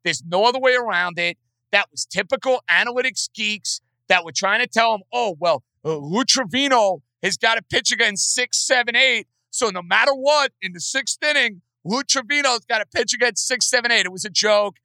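A man's voice: extremely high pitch, moderate pace, sharp tone, no echo. A man hosting a sports call-in show. He talks emphatically and repeats points for stress. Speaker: sports radio host.

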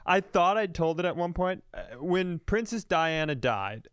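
A man's voice: sits high, very animated, unhurried, fairly bright tone, smooth, no echo, studio quality. An American man in his thirties co-hosting a comedy podcast, telling stories emphatically and with casual profanity.

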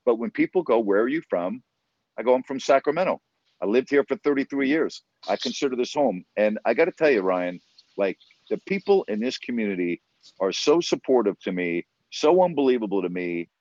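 A man's voice: medium pitch, big pitch swings, fairly quick, darkish tone, no echo. A man in his fifties from New York, a sports broadcaster speaking as an interview guest, earnest and emphatic, repeating words as he stresses his points. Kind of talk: conversational interview storytelling. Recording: dull, dry sound.

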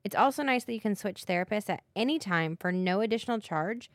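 A woman reading a scripted sponsor message in an upbeat, deliberate way. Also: mid-range voice, animated, no echo, studio quality. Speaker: podcast co-host reading an ad. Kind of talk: scripted ad read.

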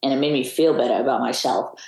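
Deep-voiced young woman in a roomy space. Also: quick pace, darkish tone. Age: young adult. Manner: open, reflective storytelling. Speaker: guest. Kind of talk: casual interview chat.

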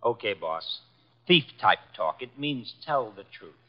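An actor playing a breezy, cocky detective's assistant with brisk slangy talk, in a 1950s radio drama. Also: male voice, mid-range voice, very animated. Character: breezy, wisecracking tough male detective's assistant. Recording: dull vintage 1950s radio recording.

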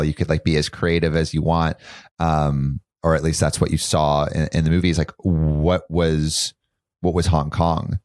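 A man's voice: a bass voice, dry recording, clean studio sound. A man hosting an interview podcast, reading an intro and asking reflective questions. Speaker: podcast host.

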